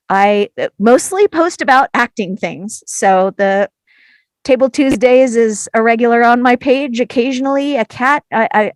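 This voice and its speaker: A woman's voice: fairly high pitch, dry recording. A woman casually introducing herself to a group, chatty and self-deprecating. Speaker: cast member reading action descriptions.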